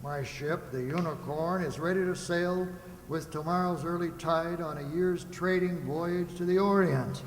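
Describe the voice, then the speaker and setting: A man's voice: fairly high pitch, steady tone, measured pace, close to an everyday voice. A man playing a kindly, hearty sea captain in a children's radio play. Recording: echoey hall, faint noise.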